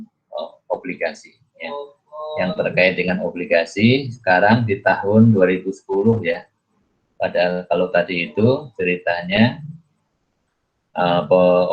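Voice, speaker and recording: A man with a very deep voice gives an explanatory lecture. He works haltingly, posing questions and adding little check-in tags as he goes. The recording is muffled and lo-fi. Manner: repeatedly adds "ya" as a check-in tag; halting, thinking aloud with questions to the listener. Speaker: accounting lecturer. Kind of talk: explanatory screen lecture, working through a worksheet.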